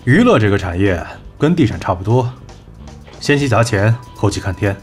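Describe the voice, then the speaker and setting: A man's voice: lowish voice, big pitch swings, moderate pace, faint music behind. A man playing a confident, wry talent manager turned executive in an audio drama, assured and dry. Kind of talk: Chinese audio drama acting.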